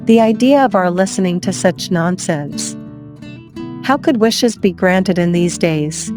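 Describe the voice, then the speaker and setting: A woman's voice: on the low side, expressive, a little slow. Sceptical older wife acted by a woman over music. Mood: dismissive. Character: sceptical, practical old wife and mother. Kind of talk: dramatised audio story acting.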